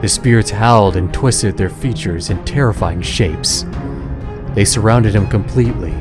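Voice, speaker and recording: A man's voice: lowish voice, normal speed, over music. A man giving scripted, dramatic narration of an adventure story as the narrator.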